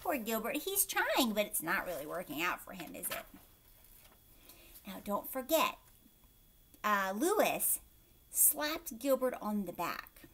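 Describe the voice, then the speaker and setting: A woman teacher reading a picture book aloud to children, expressively, pausing to explain and ask them questions. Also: medium pitch, slight background noise. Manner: expressive, asks young listeners questions.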